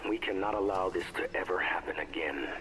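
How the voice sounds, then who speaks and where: Low-voiced, solemn male scientist, played by an actor. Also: very noisy, close to an everyday voice. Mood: grim, resolute. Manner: solemn, measured, formal. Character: grave male scientist recording his personal log, hardening against mutants. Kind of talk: audio log narration, video game acting.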